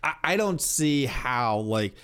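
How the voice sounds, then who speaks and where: A man's voice: mid-range voice, animated, slow, fairly bright tone, smooth. A man co-hosting a podcast, giving casual opinions emphatically and often repeating himself. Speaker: podcast co-host.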